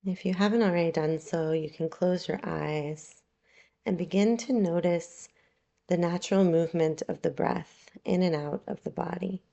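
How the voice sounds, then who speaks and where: A woman leading a guided relaxation meditation as a yoga nidra guide, calm, soothing and unhurried, with long pauses. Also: lowish voice, vocal fry, lo-fi sound.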